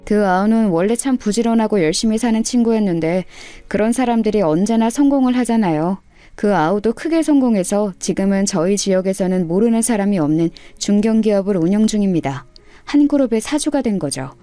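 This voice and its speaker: A woman's voice: mid-range voice, expressive, a little fast, mellow, dry recording, soft background music. A woman reading a scripted first-person life story in a radio story style. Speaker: storyteller narrator.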